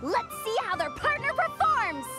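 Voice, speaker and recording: Cocky young game girl, very high voice, lively swoops, theatrical bravado, music behind. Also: measured pace, heavily acted. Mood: fired up. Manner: theatrical, mock-announcer bravado. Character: excitable, cocky young girl dressed as moon goddess. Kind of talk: animated video game voice acting.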